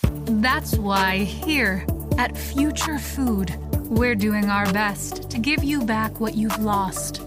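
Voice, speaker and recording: A woman's voice: medium pitch, slow, over music, close to an everyday voice. A woman playing an upbeat, polished advertising announcer in a parody commercial for an audio play. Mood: soothing, selling. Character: upbeat, slick female advertising announcer selling disaster-era products.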